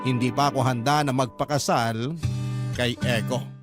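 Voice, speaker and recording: A man's voice: mid-range voice, steady tone, moderate pace. A man reading a first-person love letter as a dramatic radio storyteller. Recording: prominent background music under narration. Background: loud instrumental music bed.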